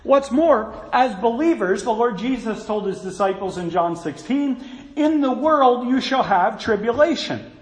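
A man with a notably high voice delivers a sermon, quoting scripture in an earnest tone. His words ring out with a long reverb, as if the mic picks him up in a large hall. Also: lively intonation, a little slow. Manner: earnest, quotes scripture, asides to kids. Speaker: preacher.

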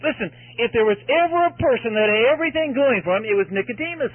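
A man's voice: very high-pitched, lively intonation, fast talker, no echo. Man, evangelistic preacher, earnest, exhorting, repetitive emphasis, dry close sound, narrow radio-like lo-fi recording. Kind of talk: evangelistic sermon to an audience.

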